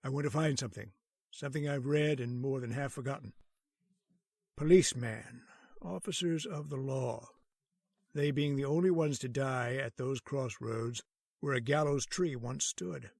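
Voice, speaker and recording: A man acting a calm, learned hospital doctor in a radio horror drama, speaking in a measured, authoritative way. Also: medium pitch, creaky, no echo. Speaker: voice actor.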